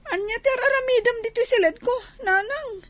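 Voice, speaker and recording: An actress playing a whiny, defiant and emotional young runaway daughter in a radio drama. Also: female voice, very high-pitched, fairly quick, fairly bright tone. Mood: irritated. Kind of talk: Filipino radio drama acting. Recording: music behind the voice.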